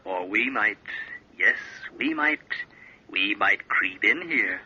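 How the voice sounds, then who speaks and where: A sinister inner voice from an old radio horror play. It speaks slowly and conspiratorially, with a very sharp, husky edge. The recording is lo-fi. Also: male voice, on the low side, lively intonation, heavily acted. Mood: conspiring. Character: sinister slow voice inside a schemer's head. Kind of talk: vintage radio horror acting.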